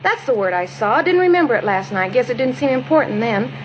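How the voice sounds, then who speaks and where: An actress playing a plain-spoken, skeptical witness with a folksy, rambling manner in a 1950s radio drama. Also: female voice, on the high side, big pitch swings, brisk. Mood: doubtful. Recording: dull, faintly noisy 1950s radio recording.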